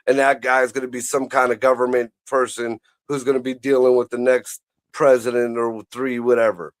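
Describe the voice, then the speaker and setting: A man's voice: medium pitch, flat delivery, normal speed, dry recording. A man chatting casually about politics as a show host, blunt, profane and rambling.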